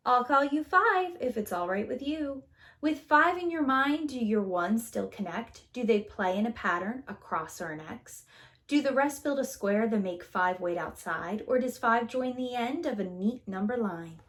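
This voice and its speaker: Woman, preschool teacher, higher-pitched voice, very lively intonation, sing-song storybook read-aloud, theatrical delivery for young kids. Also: normal speed. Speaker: preschool teacher reading a picture book aloud.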